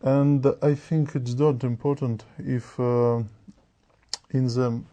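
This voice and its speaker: A man from Russia with a Russian accent, a candidate in a speaking exam, talking in halting, broken phrases. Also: medium pitch, little pitch movement, dark tone, a little hiss or hum.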